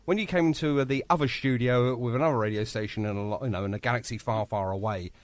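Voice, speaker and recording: A man's voice: medium pitch, fast talker, dry recording. An English man hosting a radio show, chatting effusively with a guest about music and agreeing often.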